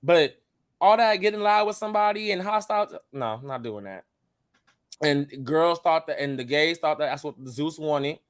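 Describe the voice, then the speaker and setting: A man in his twenties who grew up in the United States, a show guest talking in casual chat, blunt and calm while he cusses. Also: a little high, normal speed, dry recording, studio quality. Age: 20s.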